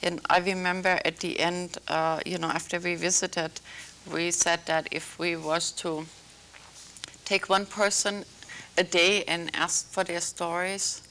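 A woman from Algeria hosting a small studio talk show, gently prompting her guest with hesitant, filler-laden questions. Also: lowish voice, level tone, measured pace. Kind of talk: interviewing a guest.

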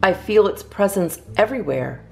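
A woman's voice: medium pitch, lively intonation, moderate pace, vocal fry, soft background music. A woman hosting a storytime, reading children's poems aloud expressively with theatrical emphasis. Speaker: storytime host reading a picture book.

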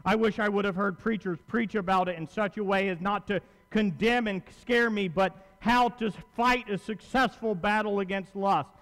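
A man's voice: high voice, normal speed, few pauses, fairly bright tone. A man in his thirties preaching a sermon in a conversational, emphatic way, repeating phrases and posing questions to his listeners. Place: large church auditorium, at pulpit on stage. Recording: long hall reverb from stage.

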